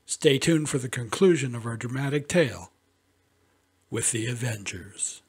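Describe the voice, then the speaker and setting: A man's voice: medium pitch, animated, moderate pace, breathy edge. A man hosting a classic radio program, giving a scripted introduction with playful, alliterative delivery. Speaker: radio host.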